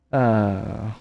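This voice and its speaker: Very low-voiced woman streaming a game. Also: creaky, highs cut off. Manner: offhand, musing aloud, reading dialogue. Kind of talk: casual playthrough commentary. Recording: game music behind.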